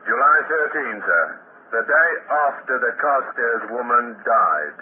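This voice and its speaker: An actor playing a brisk, dutiful police sergeant in a radio drama, reporting in clipped phrases. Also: male voice, medium pitch, very animated. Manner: clipped reporting, slightly casual. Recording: tinny hissy vintage radio broadcast.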